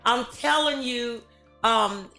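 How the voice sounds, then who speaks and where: A woman hosting a talk show, asking questions casually in a halting, hesitant way. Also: on the high side, gravelly, sharp tone, faint music behind, low-quality recording. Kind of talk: casual interview chat.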